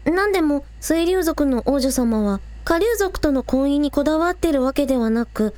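A woman's voice: high-pitched, animated, moderate pace, mellow, no echo. A woman playing an earnest, anxious teenage village idol girl in an audio drama. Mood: hesitant, earnest. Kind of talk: Japanese audio drama acting.

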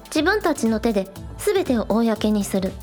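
A woman's voice: on the high side, very animated, moderate pace, faint music behind. A woman voicing a spirited, earnest young shrine maiden and village idol in a radio drama. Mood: frustrated, resolute.

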